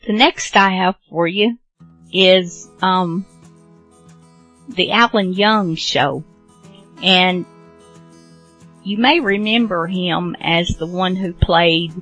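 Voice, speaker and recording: A woman presenting a radio show in a somewhat low, unhurried voice. She is casual and unscripted, halting and rambling apologetically, with faint music underneath. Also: a bit bright. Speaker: host of old radio drama show.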